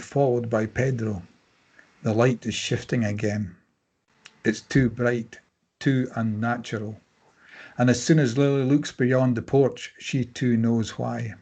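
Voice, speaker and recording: Husky man, middle pitch, scripted narration, stage directions, table read. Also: darkish tone.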